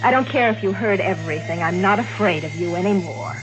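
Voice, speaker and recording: A woman's voice: lowish voice, fairly quick, lightly acted, poor audio. An actress playing a bitter, ruthless and nervy woman in a 1940s radio mystery, with brittle intensity. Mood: defiant, bitter. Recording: vintage radio recording over organ music.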